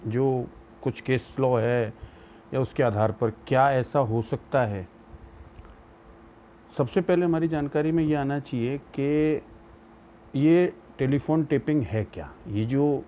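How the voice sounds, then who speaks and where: A man's voice: medium pitch, a little slow, dark tone, a little hiss or hum. An Indian man giving an informal legal explanation, mixing English terms into his speech. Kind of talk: informal spoken legal lecture.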